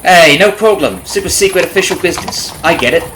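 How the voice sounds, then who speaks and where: Casting: male actor as folksy, rambling carriage driver for ASMR roleplay, lightish pitch, friendly on top and sly beneath, horse noise behind. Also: quick pace, a bit bright, low-quality recording. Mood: chummy, apologetic. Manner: folksy, rambling, nosy, hesitant with mock-innocent asides. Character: chatty, falsely friendly substitute carriage driver, slyly menacing. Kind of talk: ASMR roleplay audio drama, addressing the listener. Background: clip-clopping horse, carriage ambience.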